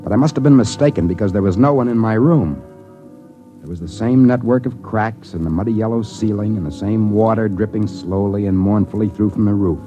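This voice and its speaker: A man's voice: fairly low pitch, fairly quick, dark tone, natural voice. An actor playing a broke man in a cheap hotel, narrating and talking with wry, flustered asides in a 1950s radio drama. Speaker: narrator and lead character. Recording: dull old radio recording with music.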